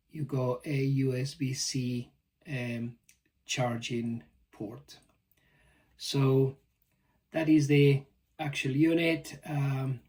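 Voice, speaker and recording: A man giving a casual, halting unboxing commentary as a product reviewer. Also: medium pitch, darkish tone. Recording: distant mic, faint background noise.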